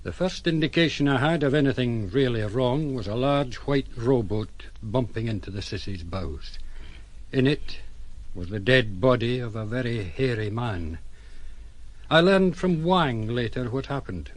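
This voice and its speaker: An actor playing a blunt, sombre ship's captain recounting events in an audio drama, in a plain-spoken way. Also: male voice, medium pitch, lively intonation, measured pace, natural voice. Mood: sombre, reflective. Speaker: sea captain.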